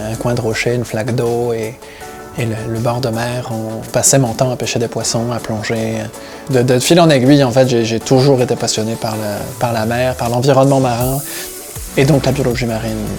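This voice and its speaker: A man from the Paris region, telling about his own work and life in a relaxed interview account. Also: mid-range voice. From Paris region, France, with Breton roots. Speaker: seaweed harvesting diver and business owner, interviewee.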